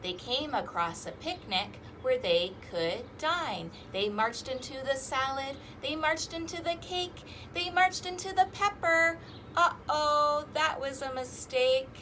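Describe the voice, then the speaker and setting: A woman hosting a children's storytime, reading rhymes and picture books aloud in an animated, sing-song, exaggerated way. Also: high-pitched, slow, a little hiss or hum. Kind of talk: interactive children's read-aloud with rhymes and fingerplay.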